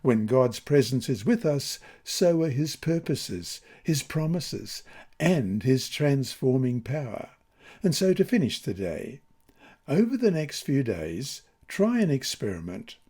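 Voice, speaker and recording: Expressive, mellow male devotional reader. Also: medium pitch, slow pace, breathy edge. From Australia. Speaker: lesson reader. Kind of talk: scripted devotional narration with scripture reading.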